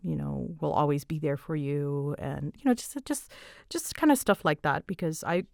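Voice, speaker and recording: A young adult woman chatting casually as she answers fan questions, with an animated way of retelling things. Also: low voice, moderate pace, vocal fry, no room sound, studio quality. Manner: chatty, animated retelling with quoted asides and giggles. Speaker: audio roleplay creator answering fan questions. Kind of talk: casual Q&A chat.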